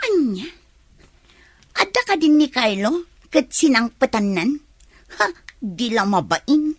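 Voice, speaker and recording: A woman's voice: on the high side, big pitch swings, takes it slowly, no room sound, heavily acted. An actress with an Ilocano Filipino accent playing a jealous, haughty, gossipy young village woman in a radio drama. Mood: indignant.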